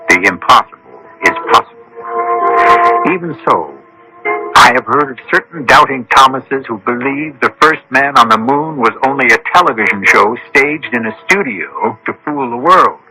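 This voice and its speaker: Older man, radio mystery host, gravelly, sharp-edged, theatrical scripted narration, wry and deliberate, faint music behind. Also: medium pitch, poor audio. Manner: deliberate, wry, storyteller's delivery.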